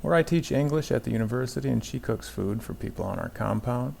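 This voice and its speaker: A man's voice: medium pitch, animated, quick pace, gravelly, a little mellow, a little hiss or hum. A middle-aged man from the United States narrating a travel vlog about a road trip in an easygoing, lightly wry way.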